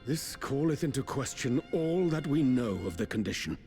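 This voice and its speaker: An actor playing a courteous, scholarly adviser in a fantasy game, speaking in formal, measured, archaic phrases. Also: male voice, medium pitch, expressive, a little mellow, close to an everyday voice. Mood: grave, formal. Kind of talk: fantasy video game voice acting. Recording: orchestral music behind the voice. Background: orchestral game music with strings.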